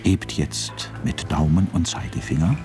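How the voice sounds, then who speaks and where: A man's voice: rumbling low, unhurried, music in the background. A man narrating a radio drama in a matter-of-fact, descriptive way.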